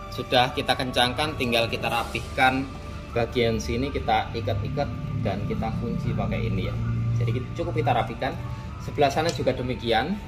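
A man running a casual, chatty hands-on product demo in an indoor motorcycle shop, talking to viewers like friends. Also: medium pitch. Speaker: motorcycle gear shop owner demonstrating a product. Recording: echoey room with background music. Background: background music.

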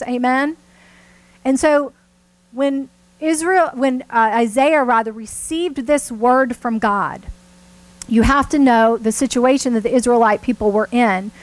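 Woman, fairly high pitch, church sermon, emphatic phrasing, addresses listeners directly. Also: poor audio. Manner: deliberate, emphatic phrasing with audience check-ins. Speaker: preacher. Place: church sanctuary with a seated congregation.

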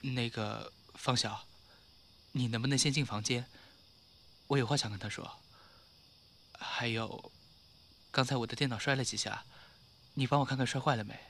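A man's voice: mid-range voice, steady tone, normal speed, vocal fry, long pauses, sharp tone, a little hiss or hum. A man playing a mild, reserved young professional in an audio drama, speaking in a polite, understated, placating way. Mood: apologetic. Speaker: voice actor in a fan audio drama. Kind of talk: Chinese audio drama acting.

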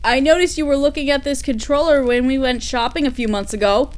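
A woman's voice: high-pitched, a little fast, natural voice. A woman playing an affectionate, dorky, easily flustered workaholic mechanic girlfriend in an intimate roleplay audio, giggly and gushing. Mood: nervous, affectionate.

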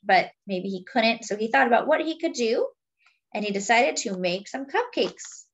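A woman reading a picture book aloud to young children in a deliberate, teacherly way, adding simple explanations as she goes. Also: a little high, dull audio. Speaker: children's read-aloud storyteller.

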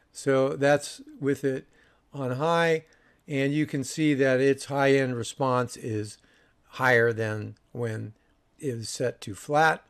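A man's voice: medium pitch, creaky. A man giving a casual, unscripted review voice-over, explaining things in a matter-of-fact, informal way. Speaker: audio review host.

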